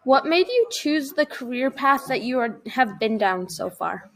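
A woman interviewer asks plain questions with a hesitant "um" before them. Her voice sits slightly high. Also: expressive, a little slow, poor audio.

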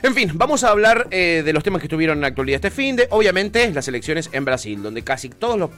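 An Argentine man hosting a radio talk show, his voice sitting a little high for a man. He chats loosely and jokes, and he stalls on many hesitant fillers along the way. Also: big pitch swings, fast, a bit bright, soft background music. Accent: Argentine Spanish. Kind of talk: casual political chat.

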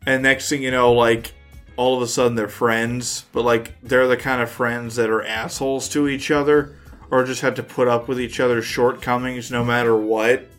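A man's voice: medium pitch, level tone, normal speed, fairly bright tone. Man, podcast co-host, casual chat, slangy rambling delivery, background music.

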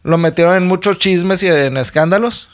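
Young man, Mexican accent, podcast co-host, slangy, laughs a lot, fairly high pitch. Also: normal speed. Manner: laid-back, jokey, slangy, laughs often. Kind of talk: casual chat.

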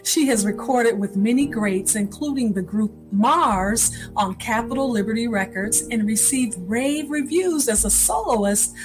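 A woman hosting a radio show, reading a scripted introduction with emphatic, deliberate enunciation. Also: on the high side, rough recording. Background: music.

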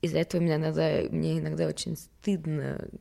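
Low, gravelly young woman chatting candidly. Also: level tone, fast, warm tone. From Sweden. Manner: candid, rambling, self-mocking. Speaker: model, interview guest. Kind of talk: casual chat.

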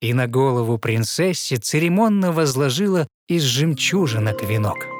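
A man narrating a fairy tale in verse with expressive, rhythmic recitation. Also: mid-range voice, normal speed, dry recording. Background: music behind the voice.

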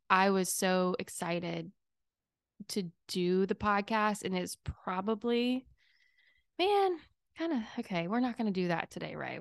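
Reflective, slightly raspy woman podcaster. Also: no echo, clean studio sound. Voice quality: raspy, low. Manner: rambling, emotional, many fillers. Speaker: podcast host. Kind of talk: reflective solo podcast chat. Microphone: studio microphone.

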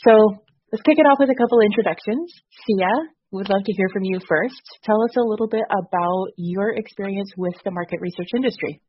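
A woman's voice: medium pitch, brisk, husky. A woman hosting a podcast interview who leads with brief, encouraging prompts. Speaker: podcast host. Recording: dull lo-fi audio.

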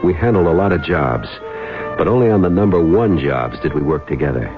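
Rugged American ex-frogman, low-pitched, slangy tough guy for a vintage radio play over music. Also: animated, fairly quick. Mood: matter-of-fact narrating. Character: rugged wisecracking male salvage diver, ex-Navy frogman. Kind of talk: radio drama acting and narration. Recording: dull old radio broadcast, music behind.

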